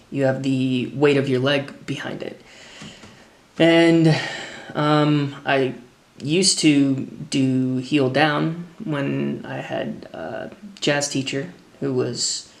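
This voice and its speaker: American guy in his 30s, slow halting q&a talk, creaky voice, echoey room. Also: medium pitch. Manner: halting, thoughtful, pausing to formulate answers. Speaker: drummer answering questions. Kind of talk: casual unscripted Q&A talk. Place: indoors, open kitchen-dining room at a table.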